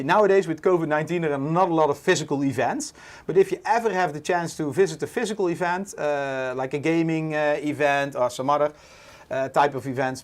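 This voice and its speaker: A Dutch man in his forties with a Dutch accent, hosting a casual livestream, relaxed and full of "uh" fillers. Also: fairly high pitch. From Netherlands. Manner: relaxed, many "uh" fillers, reads chat aloud. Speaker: livestream host.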